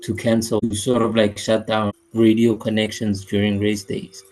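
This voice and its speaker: A man's voice: fairly low pitch, monotone, normal speed, darkish tone, slight background noise, poor audio. Hesitant podcast co-host, a man. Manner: hesitant, lots of filler and agreeing. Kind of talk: casual chat.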